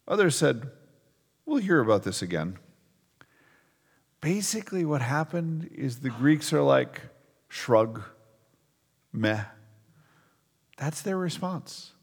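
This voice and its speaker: Gravelly preacher in a reverberant hall. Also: male voice, medium pitch, animated, takes it slowly, long pauses. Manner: conversational preaching with emphatic stresses. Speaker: pastor. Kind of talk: sermon.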